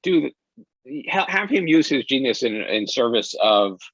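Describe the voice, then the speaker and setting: A man being interviewed as a director, talking casually and haltingly, restarting phrases as he searches for words. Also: medium pitch, very animated, a bit bright, no echo. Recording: dull lo-fi sound.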